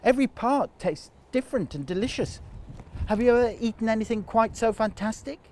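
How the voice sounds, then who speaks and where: Man voicing an excitable, high-pitched girl goat. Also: brisk, slight background noise. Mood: gushing. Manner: gushing, enthusiastic. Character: eager, excitable female goat who loves food.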